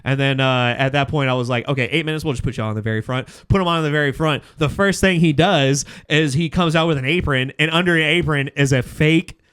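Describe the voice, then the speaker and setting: A man's voice: on the high side, fast talker, few pauses, a bit bright, clear, resonant tone. A man chatting casually on a podcast, telling stories with slangy swearing and acting out bits of dialogue. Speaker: podcast host and comedy show runner. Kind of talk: casual podcast chat, animated storytelling.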